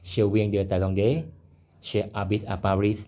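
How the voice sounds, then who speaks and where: A man in his fifties from Thailand, speaking beginner French with a Thai accent, quick and halting. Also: lowish voice, flat delivery, dark tone. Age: 50s. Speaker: student. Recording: noisy classroom.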